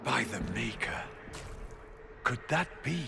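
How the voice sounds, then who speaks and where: Devout fantasy warrior, game drama, gravelly, grave, steady, cautious, noisy background. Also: male voice, mid-range voice, slow pace, exaggerated voice. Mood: wary.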